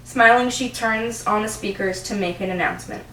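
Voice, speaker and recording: A woman's voice: a little high, moderate pace, sharp tone. Young woman narrating, echoey room. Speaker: narrator reading stage directions. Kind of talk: amateur scripted narration. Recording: roomy, distant mic, faint noise.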